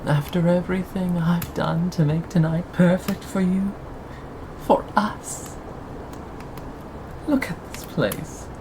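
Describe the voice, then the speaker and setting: A performer in an intimate ASMR roleplay voicing a possessive, sweetly menacing captor, coaxing and teasing with pauses and sighs. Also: mid-range voice, fairly even intonation, background noise.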